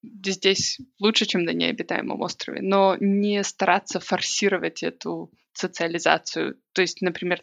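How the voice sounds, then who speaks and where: Gravelly-voiced woman, reflective. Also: on the low side. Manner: reflective, thinking aloud with pauses. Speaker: podcast guest. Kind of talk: casual interview talk. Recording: dull lo-fi recording.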